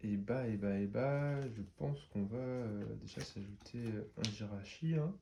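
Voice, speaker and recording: Low-voiced man with a head cold. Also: a little slow, vocal fry, mellow, highs cut off, slight background noise. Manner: chatty, explains each move with many fillers. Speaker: card game player and channel host. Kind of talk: casual commentary on a card game match.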